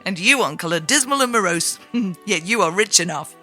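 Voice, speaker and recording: A woman voicing a cheerful, warm-hearted young man, hearty and earnest, in a storybook reading. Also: fairly low pitch, lively intonation, fairly quick, faint music behind.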